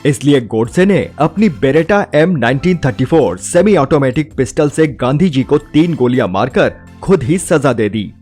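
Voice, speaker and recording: A man's voice: medium pitch, animated, normal speed, few pauses, a little mellow. A man narrates a scripted film review with emphatic, rhetorical phrasing. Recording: music bed under the voice. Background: background music.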